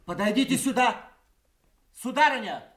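Lively, childlike teasing court fool. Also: female voice, fairly high pitch, a little slow, echoey room. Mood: mocking, playful. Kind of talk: theatrical audio drama acting.

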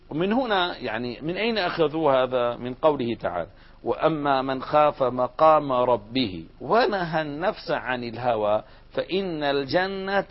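Man, grammar teacher, mid-pitched voice, questions and answers, lo-fi sound. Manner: explains step by step, asks students questions and answers them. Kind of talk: classroom grammar lesson. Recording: dull lo-fi recording.

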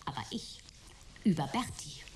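A woman's voice: moderate pace, whispered, lightly acted. A woman playing a spirited young adventurer in a sci-fi radio drama, gushing, teasing and insistent. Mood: insistent, playful. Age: young adult. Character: spirited, enthusiastic, headstrong young woman, detective's friend.

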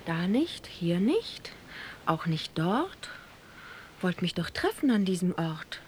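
An actress playing a young, forthright, lovestruck sultan's daughter in a rhymed fairy-tale audio drama, with a lilting, theatrical delivery. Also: female voice, fairly low pitch, very animated, slow pace, darkish tone, slight background noise. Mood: wistful singsong.

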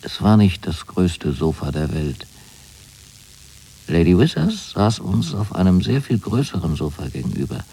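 Radio drama son,: deep, dark, mellow delivery, fastidious and naive, precise literary monologue. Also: male voice, very animated, breathy edge, exaggerated voice, rough recording. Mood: wry, fastidious wonder. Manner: measured, precise, deliberate storytelling. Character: young man writing letters to his mother, wryly fastidious and naive.

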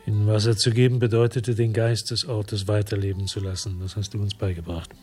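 A man's voice: on the low side, level tone, brisk, a little mellow, soft background music, close to an everyday voice. An actor playing a brooding, disillusioned ex-policeman who narrates a crime radio drama in terse, reflective phrases. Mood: reflective, melancholy. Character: world-weary disillusioned male ex-cop, brooding and reflective.

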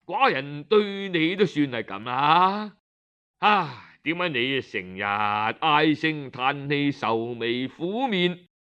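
A man voicing an aging, self-important king in an oral storybook tale, with a Cantonese accent and a stately, drawn-out delivery. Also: on the high side, sing-song, sharp tone, clear, resonant tone, lo-fi sound, exaggerated voice. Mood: tipsy, puzzled. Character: aging male king, self-important, coaxing his young wife.

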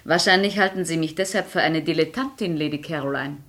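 An actress playing a witty, cynical high-society lady in a 1960s radio play, teasing and ironic. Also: fairly quick, fairly bright tone. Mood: arch, mocking.

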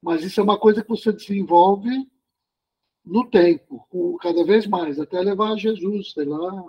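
Husky, high-voiced man; reflective group leader who explains at length and checks in with his listeners. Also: moderate pace, muffled recording. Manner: musing, explanatory, frequent tag questions.

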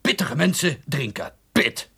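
An actor playing a pompous, excitable middle-aged advertising boss in a radio drama, theatrical and fond of repeating himself. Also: male voice, a little high, unhurried, husky, exaggerated voice. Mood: excited, pompous.

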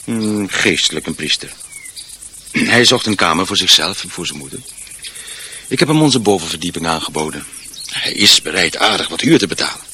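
A man's voice: low voice, expressive, moderate pace, pauses often, sharp tone, slight background noise. An actor playing a practical, middle-aged husband and father in a radio drama, matter-of-fact and brushing worries aside. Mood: casual, evasive. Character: practical, reassuring husband and father around forty. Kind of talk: naturalistic radio drama acting.

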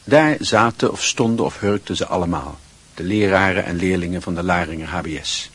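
A man narrating a radio play in a measured, literary storytelling manner. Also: low-pitched, a bit bright, husky.

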